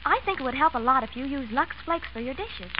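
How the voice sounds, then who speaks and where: A cheerful young American woman gives advice in a vintage radio ad. Her voice is high. The recording is muffled with faint hiss. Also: lively intonation, fast. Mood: friendly advice. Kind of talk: 1930s-40s radio commercial acting. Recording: muffled, hissy old radio broadcast.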